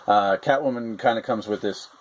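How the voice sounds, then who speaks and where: A man's voice: fairly low pitch, normal speed. A man hosting a casual toy review, talking in a rambling, hesitant way with lots of fillers.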